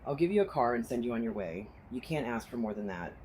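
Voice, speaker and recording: A woman's voice: extremely low pitch, lively intonation, fast talker, a little mellow, a little hiss or hum. A woman playing a hostile, desperate survivor in an audio drama, confrontational and sardonic. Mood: threatening. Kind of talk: full-cast audio drama acting.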